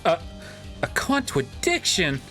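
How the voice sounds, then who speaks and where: Flustered, unsure courtroom rookie, woman performer, animated mid-range voice, casual comedic fan-dub delivery. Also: on the low side, takes it slowly, exaggerated voice. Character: flustered, unsure young defense attorney. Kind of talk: live amateur fan dub, jokey and casual. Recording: game music behind the voice.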